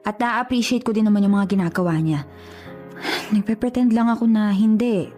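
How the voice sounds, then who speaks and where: A woman's voice: mid-range voice, moderate pace, warm tone, lightly acted. A woman playing a stubborn, self-sacrificing young woman in a radio drama, natural in delivery. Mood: torn, confessing. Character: stubborn, self-sacrificing young woman resisting love for her sister's sake. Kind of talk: Tagalog radio drama acting. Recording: music behind the voice. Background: music.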